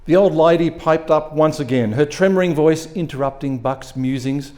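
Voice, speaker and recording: A male story reader with a soft, mellow tone and a slightly high pitch. He narrates expressively, as at a live reading of short fiction. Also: normal speed.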